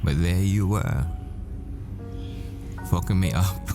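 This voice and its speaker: Man acting as tender haunted boyfriend, very low voice, slow halting confession, intimate audio roleplay, music underneath. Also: gravelly, heavily acted. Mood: wistful, tender. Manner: halting, wistful, confessional, with short broken phrases. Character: tender, haunted boyfriend, a math professor and assassin. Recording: music behind the voice.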